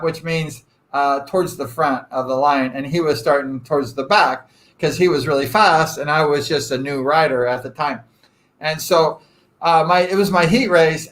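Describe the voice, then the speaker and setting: Chatty rambling man, fairly high voice. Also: a bit bright. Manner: rambling and chatty, self-deprecating joking, frequent fillers. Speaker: live-stream host. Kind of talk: casual live chat with viewers.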